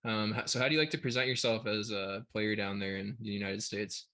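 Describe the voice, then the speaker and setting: Low, level-voiced host. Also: male voice, fairly even intonation, a little fast, sharp tone. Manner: friendly, chatty, stumbles over questions. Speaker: podcast host. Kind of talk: casual interview.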